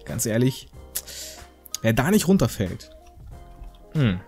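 A man's voice: mid-range voice, sing-song, slow, a little mellow, soft background music. A man giving casual, joking game commentary in a rambling, slangy, mock-indignant way. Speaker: gaming video host.

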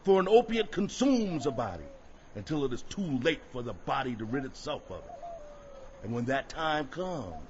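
A man acts out a fervent escaped slave making a radio drama speech. The voice sits fairly high and rises and falls dramatically, each phrase weighed and emphatic. The recording is slightly muffled, with faint noise. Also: sing-song, close to an everyday voice. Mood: fervent, persuasive. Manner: oratorical, deliberate, emphatic. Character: fervent escaped slave orator rousing a crowd. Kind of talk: audio drama acting.

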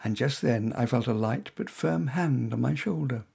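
A man reading his own short story aloud as first-person narration in a wry, expressive manner. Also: medium pitch, moderate pace, creaky, husky.

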